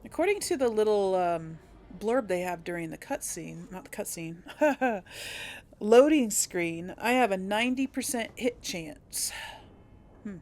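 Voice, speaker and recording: A woman's voice: mid-range voice, expressive, unhurried, a little mellow, slight background noise. A woman hosting a casual video game let's play, thinking aloud as she explores, with faint game sound behind her.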